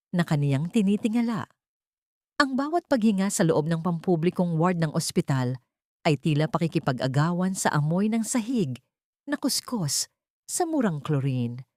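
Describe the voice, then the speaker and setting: Female Filipino narrator for dramatic audio fiction; low, soft-edged tone. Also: no echo, studio quality.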